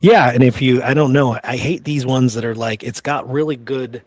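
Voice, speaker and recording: A man's voice: medium pitch, normal speed, no room sound. A man co-hosting a history podcast, telling old stories in a casual, colloquial way. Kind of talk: casual conversational history storytelling.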